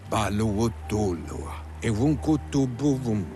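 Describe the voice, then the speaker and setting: A man voicing an old male mutant creature who talks in gravelly, babbling gibberish. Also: fairly low pitch, very animated, slow, mellow, faint music behind. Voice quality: gravelly, babbling nonsense speech.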